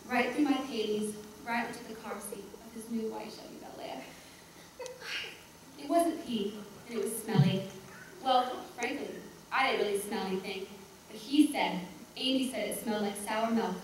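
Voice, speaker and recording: A woman performing a theatrical monologue as a reluctant, embarrassed seventy-two-year-old, chatty and blunt, with halting, dismissive asides. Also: mid-range voice, lightly acted. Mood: wistful, confiding. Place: echoey room, recorded from far off. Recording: echoey room, distant mic, faint noise.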